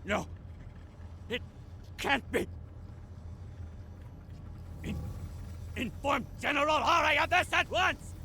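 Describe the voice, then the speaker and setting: A man playing a scheming, tyrannical villain in a video game, sputtering and menacing, with a sharp gravelly creak. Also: extremely high pitch, expressive, slow, pauses often, a little hiss or hum, exaggerated voice. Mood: enraged. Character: scheming, tyrannical male villain giving furious orders.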